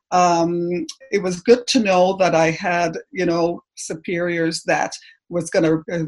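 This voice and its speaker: A middle-aged woman from Canada with no accent, giving a personal testimony in church, hesitant and full of pauses and ums. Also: lowish voice, slightly rough. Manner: hesitant, halting, many ums.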